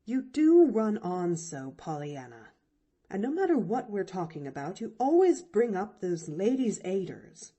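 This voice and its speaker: Woman reading as a cold, impatient aunt. Warm-toned, varied pitch, clipped delivery. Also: very animated, unhurried, slightly rough. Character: stern, impatient older aunt. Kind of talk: audiobook reading.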